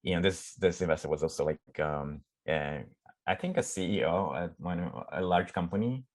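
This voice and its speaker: A middle-aged man from Romania with a Romanian accent, a startup CEO chatting in an interview, often pausing and restarting as he searches for words. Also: deep voice. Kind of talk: casual conversational interview.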